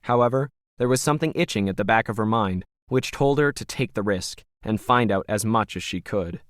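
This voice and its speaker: A man's voice: on the low side, fairly quick, no room sound, studio quality. A man reading scripted fiction as a narrator.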